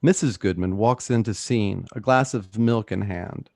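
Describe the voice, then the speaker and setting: A man's voice: on the low side, a little slow, gravelly, a little mellow, dry recording, clean studio sound. A man reading stage directions aloud as the narrator of a radio play. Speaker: host and narrator.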